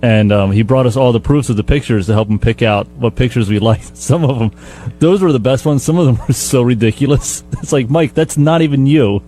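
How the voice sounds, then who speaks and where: A man's voice: mid-range voice, fairly quick, warm tone, faint music behind. A man who produces a radio show, casually recounting things on air.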